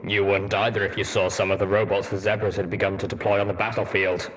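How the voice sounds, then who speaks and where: A man voicing a grim, wistful armored pony veteran, measured and matter-of-fact, in a story reading. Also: on the low side, flat delivery, fairly bright tone, lightly acted. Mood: reflective. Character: brooding armored stallion veteran pony soldier, nostalgic, grim.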